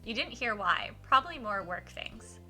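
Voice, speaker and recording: A woman livestreaming a game, switching between informal chat with viewers and expressive read-aloud in a giggly, animated manner. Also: medium pitch, normal speed, soft background music. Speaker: livestreamer hosting a visual novel playthrough.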